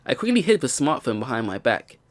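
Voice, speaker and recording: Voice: medium pitch, sing-song, a little fast. A let's play host reading a visual novel's first-person narration aloud, with game music behind.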